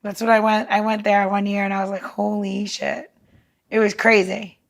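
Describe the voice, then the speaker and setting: A woman's voice: mid-range voice, little pitch movement, moderate pace, creaky. A woman in casual conversation, asking questions and reacting in an emphatic, incredulous way with free swearing.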